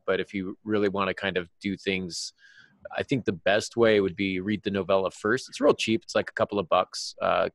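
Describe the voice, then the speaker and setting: Guy cohosting a podcast, kinda low voice, dry close sound, rambly and self-deprecating. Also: no room sound. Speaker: podcast co-host and author. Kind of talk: casual chat.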